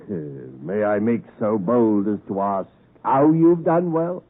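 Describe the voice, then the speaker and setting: An actor playing a rough old escaped convict in a 1950s radio drama, with rustic diction and halting, emphatic phrasing, by turns menacing and doting. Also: male voice, medium pitch, lively intonation, exaggerated voice. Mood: sly, proud. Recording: muffled narrowband 1950s radio broadcast recording.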